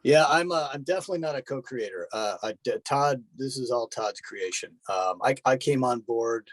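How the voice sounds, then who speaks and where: A man's voice: medium pitch, expressive, moderate pace, no room sound, low-quality recording. A middle-aged man, a voice actor and director, talking casually and emphatically as an interview guest, with dry humor.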